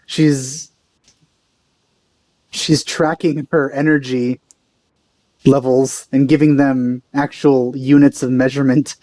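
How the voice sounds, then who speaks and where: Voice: mid-range voice, level tone, takes it slowly, long pauses, mellow, dry recording, low-quality recording. A let's play host giving casual gameplay commentary, reacting and musing aloud. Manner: reacts aloud, muses to self. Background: faint game music.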